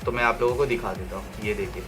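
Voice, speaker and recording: A man's voice: lowish voice, steady tone, quick pace, music in the background. A man giving a casual, step-by-step product review and how-to, dropping English phrases into his talk. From India.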